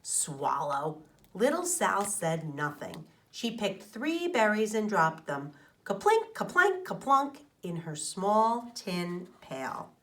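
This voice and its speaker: Woman, schoolteacher, storybook read-aloud, very animated intonation, medium pitch, explanatory, questioning. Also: slow. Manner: expressive, explains and asks the children questions. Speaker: teacher reading aloud to her class.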